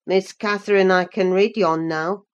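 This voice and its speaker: Woman putting on an awkward, low-voiced rustic lad. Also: fairly even intonation, smooth, lo-fi sound, close to an everyday voice. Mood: awkwardly proud. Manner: halting, awkward. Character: awkward, uneducated rustic young man, shyly proud.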